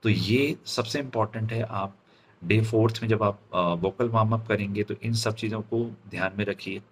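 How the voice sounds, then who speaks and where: A man with an Indian accent giving an instructional lecture as a speaking coach, in deliberate, halting phrases with frequent check-in questions. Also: on the low side, steady tone, a little hiss or hum.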